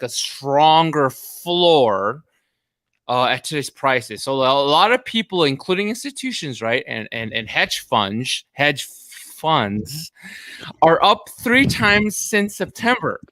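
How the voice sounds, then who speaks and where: A man's voice: fairly high pitch, sharp tone, dry recording. A man whose first language is Korean, tipsy and rambling with many restarts as a panelist on a casual video call chat. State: drunk. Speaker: podcast panelist.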